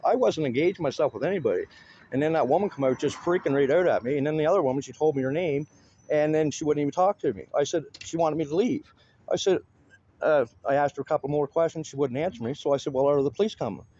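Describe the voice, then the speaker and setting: A man speaks in a high, soft-edged voice. He argues his points insistently in plain, colloquial language. The sound is muffled, with faint noise, as picked up by a handheld camera. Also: fast, breathy edge. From Canada. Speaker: citizen auditor filming police. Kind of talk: casual argumentative conversation.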